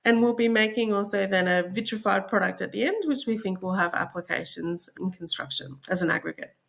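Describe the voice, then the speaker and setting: An Australian woman speaking as a podcast guest, her mid-pitched voice carrying a gravelly, fry-laden texture. She explains in a relaxed, conversational way. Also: fairly quick.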